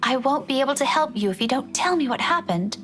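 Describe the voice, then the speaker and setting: A woman performs an earnest young heroine of a visual novel. The voice is high and animated, gentle yet insistent. Music runs clearly underneath. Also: fast talker. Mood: concerned, coaxing. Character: earnest, caring young woman, bewildered but stubborn.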